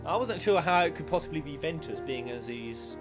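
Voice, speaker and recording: Animated man reacting to a game. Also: a little high, fairly quick, over music. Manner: playful, animated, with crude humour. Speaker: gaming YouTuber. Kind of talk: casual reaction commentary on a video game.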